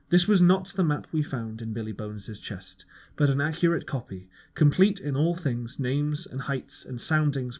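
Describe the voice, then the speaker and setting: A man's voice: mid-range voice, slightly rough. A man narrating a first-person audiobook, an amateur reader who now and then stumbles over words.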